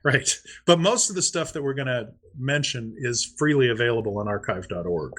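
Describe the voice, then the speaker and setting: A man's voice: medium pitch, expressive, fairly quick, a bit bright. A man co-hosting a podcast in casual chat, explaining things and thinking aloud. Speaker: podcast co-host.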